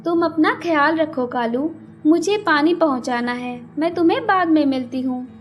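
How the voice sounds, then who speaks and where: A woman voicing a kind, hardworking young female bird in a children's cartoon, speaking in a gentle, earnest, helpful way. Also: high voice, lively intonation, normal speed. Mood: caring. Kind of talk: children's cartoon character acting.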